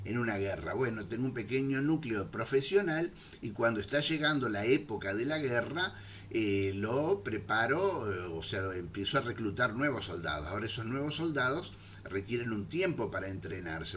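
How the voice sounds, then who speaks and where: A man giving an unscripted history lecture, filling pauses with "eh" and checking in with his listeners as he goes. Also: mid-range voice, expressive, fairly bright tone, slight background noise. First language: Spanish. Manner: thinks aloud with frequent "eh" fillers and "¿eh?" checks. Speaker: history teacher.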